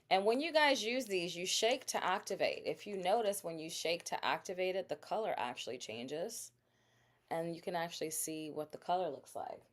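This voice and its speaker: A woman hosting a casual live sales stream, talking directly to her viewers and quizzing them on her products. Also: deep voice. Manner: chatty, addresses viewers directly, asks quiz questions. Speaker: livestream host selling bath products.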